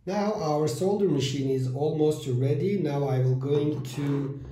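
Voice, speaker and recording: A man in his thirties hosting a step-by-step electronics build tutorial, with halting phrasing and fillers, filmed at a table in a small room. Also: a little high, dark tone, a little hiss or hum. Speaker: DIY electronics channel host. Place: small room with whiteboard, indoors. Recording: echoey room.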